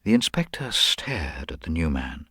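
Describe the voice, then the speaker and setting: A man's voice: extremely low pitch, very animated, a little slow, sharp tone, breathy edge, no echo, studio quality. A man narrating a scripted detective story.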